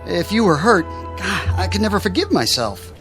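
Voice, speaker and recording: Casting: nervous over-polite driver, high lively voice, music under. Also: male voice, moderate pace. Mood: apologetic. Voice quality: stammering, overly polite. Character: apologetic male driver who hit a man.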